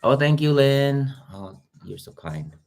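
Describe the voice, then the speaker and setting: A man's voice: mid-range voice, big pitch swings, unhurried, a little mellow, soft background music. A young man with a Filipino accent chatting casually on a livestream, greeting viewers by name as he talks. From Philippines. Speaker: YouTube reactor.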